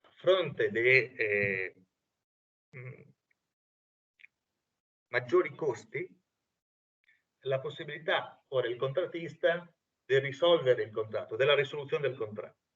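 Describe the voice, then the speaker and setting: Slow, hesitant Italian man. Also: medium pitch, lively intonation, a bit bright, breathy edge. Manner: halting, searching for words, many fillers. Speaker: speaker. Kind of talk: academic presentation. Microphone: video call. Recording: distant lo-fi video call audio.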